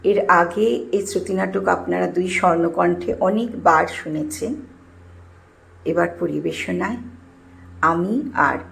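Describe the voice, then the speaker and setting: A woman's voice: fairly low pitch, steady tone, a little slow, vocal fry, poor audio. A woman presenter giving a scripted introduction to an audio play.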